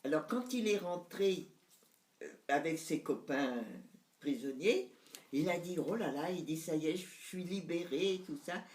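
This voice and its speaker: Very old lady telling family stories, halting, hunting for words, echoey room. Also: fairly low pitch, animated, darkish tone. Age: 90s. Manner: reminiscing, hesitant, searching for words. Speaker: mother recalling family memories. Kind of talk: casual family conversation.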